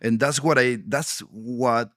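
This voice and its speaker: Middle-aged Argentine man, Spanish-native, halting English with restarts, dry close mic. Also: medium pitch, no room sound. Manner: halting, many restarts and fillers, animated retelling. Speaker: actor and producer guest. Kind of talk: candid podcast interview.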